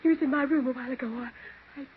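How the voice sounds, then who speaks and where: A woman acting a whimpering, frightened mother with a high voice. She stammers and hesitates in old radio mystery style, through a thin, phone-like, noisy recording. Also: steady tone, fast, lightly acted. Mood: shaken, hesitant. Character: frightened whimpering mother shaken by seeing a ghost.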